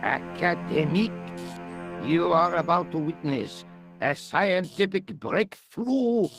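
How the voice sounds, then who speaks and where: A man voicing a pompous, world-weary French police inspector in a video game, speaking deliberately and theatrically. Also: sits high, expressive, vocal fry, soft background music, exaggerated voice. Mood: grandiose.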